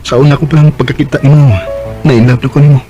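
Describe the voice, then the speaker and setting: A man's voice: mid-range voice, little pitch movement, fast talker, warm tone, muffled recording, close to an everyday voice. A man playing an earnest, hesitant, lovestruck young Cebuano working man in a Filipino radio drama. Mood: earnest, pleading. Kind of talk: Bisaya radio drama acting. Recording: music behind the voice.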